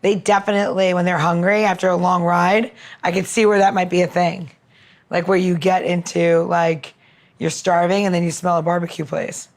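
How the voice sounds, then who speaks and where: A woman's voice: lowish voice, flat delivery, moderate pace. A woman co-hosting a podcast in casual chat, speaking in an informal, unfiltered, slangy way. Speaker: podcast co-host.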